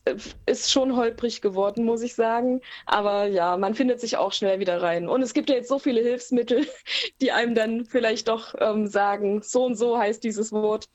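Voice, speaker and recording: A woman's voice: fairly high pitch, dull audio. German woman songwriter on a call, easygoing and reflective, lots of little ums. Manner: relaxed, thoughtful, many fillers. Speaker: singer-songwriter guest. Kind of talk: casual interview talk. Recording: remote call line.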